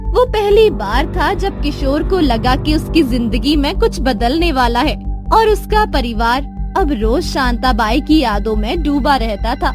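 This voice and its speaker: A woman tells a scripted moral story. Her voice is high and her delivery is slow. Soft music runs in the background. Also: lively intonation. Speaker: storyteller narrator.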